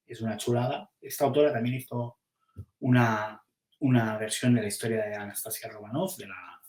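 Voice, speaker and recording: Male publisher, fairly low voice, unhurried casual chat full of restarts, echoey room sound. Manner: thinking aloud, many restarts and hesitations. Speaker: comics publisher.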